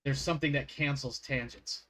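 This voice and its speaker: A man's voice: medium pitch, steady tone, normal speed, bright, forceful tone, a little hiss or hum. A man running a tabletop game, chatting casually and rambling off on tangents with frequent laughs. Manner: rambling, laughs often, self-mocking. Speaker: game master.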